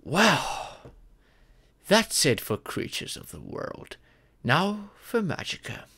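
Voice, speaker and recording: A man playing a fatherly dragon mentor in an ASMR fantasy roleplay, patiently telling stories and teaching magic in a gentle, measured, instructive way. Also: high voice, sing-song, slightly rough, heavily acted. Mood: warm storytelling. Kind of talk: ASMR audio roleplay, scripted fantasy storytelling.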